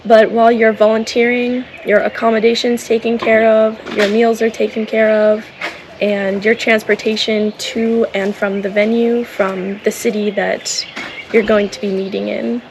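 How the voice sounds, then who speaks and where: A woman's voice: a little high, level tone, moderate pace, noisy background. A woman and native English speaker talking casually in a vlog, upbeat and enthusiastic about a volunteer program. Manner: upbeat, enthusiastic, repeats "awesome". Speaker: vlogger. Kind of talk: casual vlog talk to camera.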